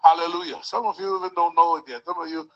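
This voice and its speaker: Man, preacher, fervent, call-and-response, somewhat high voice, muffled lo-fi sound. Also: level tone, quick pace, slight background noise. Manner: repeats hallelujah, rises to shouts. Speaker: church leader leading a testimony service.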